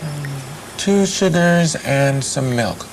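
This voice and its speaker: A woman's voice: low voice, extremely slow, faint music behind, poor audio. A woman reading a customer's part in a practice dialogue, hesitant, humming before she answers. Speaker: dialogue reader playing a customer.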